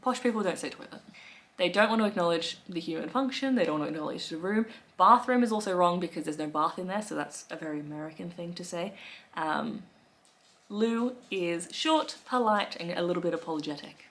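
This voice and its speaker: A woman in her thirties with an Australian accent, chatting wryly to camera from her living room, with soft laughs and fillers. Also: on the low side, expressive, fairly quick. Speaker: lifestyle vlogger. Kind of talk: casual talk to camera.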